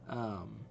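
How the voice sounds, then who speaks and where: A man hosting a reaction video with casual, impressed commentary, thinking out loud as he watches. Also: dull audio, a little hiss or hum. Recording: game trailer music playing behind. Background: game trailer audio and music.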